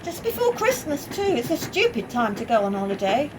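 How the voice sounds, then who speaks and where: A woman's voice: big pitch swings, fairly quick. A woman playing a blunt, put-upon British working-class mother in a radio play, by turns scolding and resigned. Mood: weary grumbling. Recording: clear noise, train rumble.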